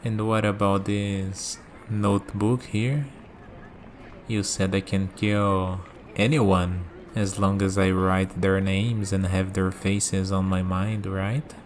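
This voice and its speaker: A man playing a calm, curious villain in a scripted ASMR roleplay, musing and dryly teasing as he talks. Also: low-pitched, takes it slowly, slight background noise. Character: calm, curious young male villain bargaining with a death god.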